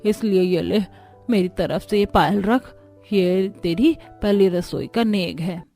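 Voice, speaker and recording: A woman voicing a kindly, approving older in-law in an animated family story. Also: mid-range voice, slow, soft background music. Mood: kind approval.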